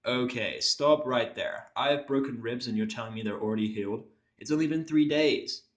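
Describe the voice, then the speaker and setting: A man's voice: medium pitch, moderate pace, natural voice. A man playing an irritable, sarcastic injured patient, blunt and cynical, in a first-person audio drama. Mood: exasperated.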